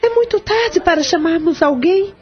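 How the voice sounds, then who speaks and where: An actress voicing a caring, practical sister-in-law who speaks gently with concern, in a radio drama. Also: female voice, very high-pitched, animated, normal speed. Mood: gentle. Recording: dull lo-fi audio with faint music.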